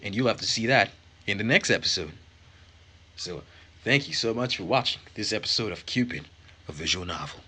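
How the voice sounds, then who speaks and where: Low-voiced man narrating, bright tone. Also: animated, a little fast, pauses often, breathy edge. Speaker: let's play host and narrator. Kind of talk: dramatic read-aloud narration of a visual novel.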